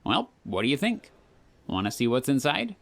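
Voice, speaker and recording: A man's voice: mid-range voice, huge pitch swings, moderate pace, gravelly, a bit bright, exaggerated voice. Man voicing a glib, cocky male drifter. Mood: playful. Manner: glib, playful, teasing. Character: breezy, cocky, teasing young male traveler.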